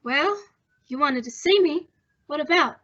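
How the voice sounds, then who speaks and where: A woman playing a brisk, no-nonsense young cigarette girl from a nightclub in an old-time radio detective drama. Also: high-pitched, lively intonation, fairly bright tone, highs cut off. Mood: guarded.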